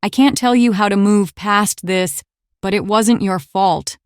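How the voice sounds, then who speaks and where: A woman voicing a kind, steady female warplane, a veteran comforting a friend in a gentle, reassuring way in an animated drama. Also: mid-range voice, a little slow, clear, resonant tone, no echo, studio quality, lightly acted.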